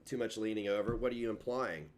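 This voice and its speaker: A man's voice: lowish voice, steady tone, quick pace. A man, chatting casually on a livestream in a rambling, self-mocking way and tripping over his words. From Kentucky.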